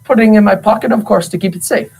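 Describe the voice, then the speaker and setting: A woman playing a fussy, excitable male butler in a theatrical comic murder mystery, with a husky voice. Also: mid-range voice, fast talker.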